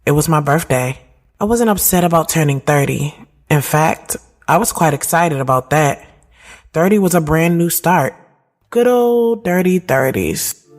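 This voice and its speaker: A woman's voice: low voice, expressive, a little slow. A woman playing a reflective, conflicted thirty-year-old who narrates her own audio drama in a candid, slangy, conversational way. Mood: frustrated, reflective. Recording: music behind the voice. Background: music.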